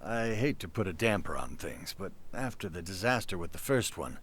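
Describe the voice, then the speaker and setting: A man's voice: sits low, very animated, moderate pace, fairly bright tone, husky, full character voice. An actor playing a hesitant, apologetic man in a video game.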